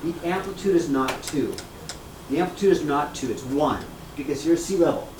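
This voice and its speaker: A man lecturing a classroom in a fairly high voice, bouncing between loud emphasis and quiet asides. He repeats each key point and keeps checking whether the students follow. Faint room noise sits under him. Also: moderate pace. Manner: energetic, repeats key points, asks "okay?" and "right?" often. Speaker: math teacher.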